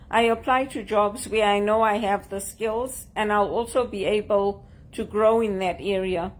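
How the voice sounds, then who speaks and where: A woman from Zimbabwe with a Zimbabwean accent, talking to camera in an earnest, measured way about job hunting. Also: mid-range voice, poor audio. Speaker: job seeker sharing tips. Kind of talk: casual talk to camera.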